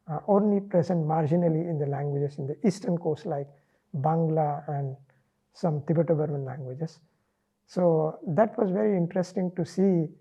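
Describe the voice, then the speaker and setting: Indian English man, middle-aged, very dark mellow voice, husky, thoughtful pauses, slightly dull recording. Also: fairly high pitch. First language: Marathi. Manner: measured, thoughtful, frequent "uh" pauses. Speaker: interviewee, linguist. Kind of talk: relaxed reflective interview.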